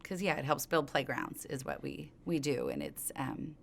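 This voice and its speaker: A woman's voice: sits low, sing-song, moderate pace, vocal fry. A middle-aged woman, a company co-founder, talking in an interview in an informal, rambling way that circles back mid-thought. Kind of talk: conversational interview storytelling.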